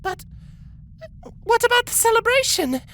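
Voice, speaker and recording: Timid pony filly: tiny, very high voice, worried and faltering, fantasy storybook. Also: female voice, big pitch swings, measured pace, a bit bright, exaggerated voice. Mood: sad. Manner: hesitant, faltering.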